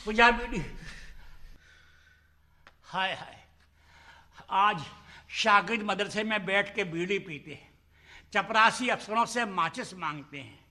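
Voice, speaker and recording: An exasperated Pakistani religious schoolmaster in an old TV satire, scolding and declaiming. The voice is high and piercingly bright, in an echoey room. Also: male voice, exaggerated voice. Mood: lamenting. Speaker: actor. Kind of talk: satirical TV stage-play acting. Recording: echoey old TV drama soundtrack.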